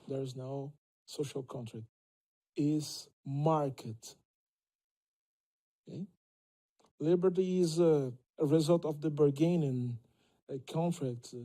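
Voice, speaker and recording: Slow, dark-toned Brazilian man. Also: on the high side, breathy edge, rough recording. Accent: Brazilian Portuguese. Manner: halting, repeats words, checks with listeners. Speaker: panelist. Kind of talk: panel debate talk. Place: lecture hall stage, seated at a table. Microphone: table microphone.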